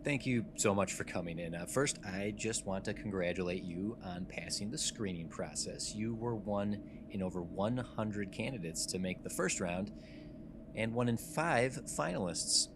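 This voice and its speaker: A man's voice: on the low side, normal speed, natural voice. A man playing a self-important, money-driven office boss in a radio drama, businesslike and self-satisfied, over a noisy background. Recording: clearly noisy room background.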